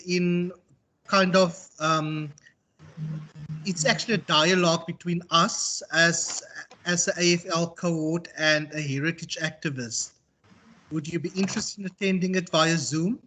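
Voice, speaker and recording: Man, South African accent, slightly high voice, reflective, halting, rephrasing, informal tutor. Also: sharp tone, a little hiss or hum, poor audio. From Western Cape, South Africa. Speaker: university tutor. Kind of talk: informal online lecture and discussion.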